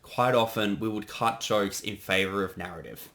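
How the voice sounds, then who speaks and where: A man's voice: on the low side, moderate pace, fairly bright tone. A man giving a casual creator's commentary on a production he directed, chatting conversationally.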